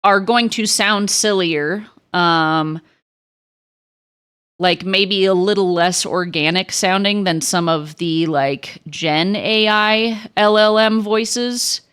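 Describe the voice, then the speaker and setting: A young woman with a crackly, lower voice, chatting frankly with stream viewers and swearing freely. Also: slow pace, fairly bright tone, clean tone. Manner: frank, swears a lot, many restarts. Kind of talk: casual chat to stream viewers.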